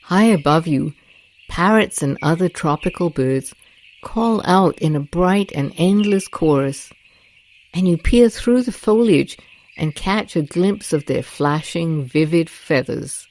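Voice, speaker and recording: Slow, low-voiced woman guiding meditation. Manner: gentle, deliberate, calming delivery with long pauses. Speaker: guided meditation host. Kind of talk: soothing guided meditation narration. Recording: nature ambience with birds and crickets. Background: rainforest sounds, birdsong and crickets.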